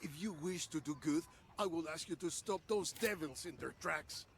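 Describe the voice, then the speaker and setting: An actor playing a captive Bolivian village priest in a video game, earnest and formal. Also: male voice, fairly high pitch, moderate pace, gravelly, slight background noise. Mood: solemn, urgent. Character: devout, captive Bolivian village priest, gentle but urgent. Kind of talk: video game cutscene acting.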